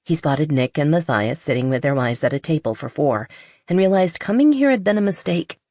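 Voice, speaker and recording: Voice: medium pitch, lively intonation, brisk, darkish tone, no echo, studio quality. An audiobook narrator reading a romance novel in a scripted storytelling style.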